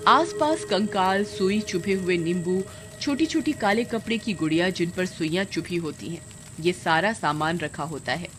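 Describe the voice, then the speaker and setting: A woman narrating a horror-comedy story dramatically from a script. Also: lowish voice. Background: background music.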